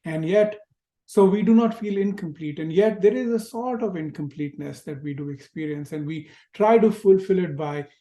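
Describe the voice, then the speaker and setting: Indian-accented male teacher, mellow and a touch high, lecturing gently in a roomy echo on a video call. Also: moderate pace. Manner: reflective, gentle, with rhetorical questions. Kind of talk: spiritual lecture to an online class.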